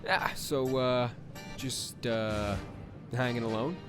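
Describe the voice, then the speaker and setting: A man's voice: mid-range voice, slow pace, over music, lightly acted. A man playing a nervous, lovestruck young space cadet in an anime parody, stammering and awkward. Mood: awkward, shy.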